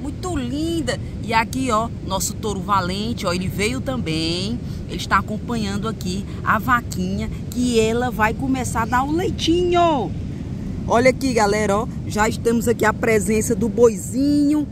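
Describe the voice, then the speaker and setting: A woman narrating children's toy play outdoors in a sing-song, animated way, with playful sound effects, recorded on a phone. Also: fairly high pitch, normal speed. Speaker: host of a kids' toy channel. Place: outdoors on grass in a beach park. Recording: outdoor noise with passing traffic.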